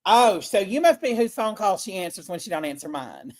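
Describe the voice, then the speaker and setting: A woman's voice: mid-range voice, big pitch swings, a little fast. A woman in her forties hosting a casual podcast chat, brash and teasing. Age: 40s. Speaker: podcast host.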